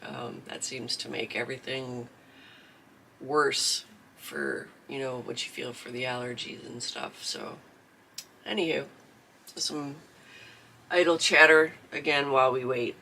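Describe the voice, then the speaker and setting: A man's voice: medium pitch, measured pace, vocal fry, long pauses, pushed and bright. A man from southeastern Michigan hosting a livestreamed crafting class, chatting casually with fillers, his voice scratchy from allergies. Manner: rambling, chatty, many fillers. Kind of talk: casual livestream chat before a lesson.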